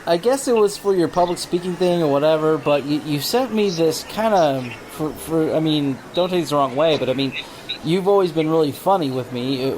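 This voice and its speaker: Higher-voiced man hosting a noisy call. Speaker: podcast host. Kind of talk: casual phone interview. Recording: noisy phone call with outdoor ambience.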